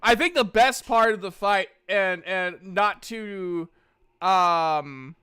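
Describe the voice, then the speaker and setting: High-pitched, bright-voiced man hosting a tabletop game. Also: slow, clean tone. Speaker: game master and session host.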